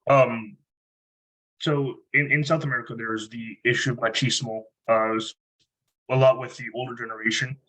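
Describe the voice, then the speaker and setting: A man in a seminar asking another team a question, pausing to search for words. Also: medium pitch, fairly even intonation, a bit bright, low-quality recording. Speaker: seminar participant.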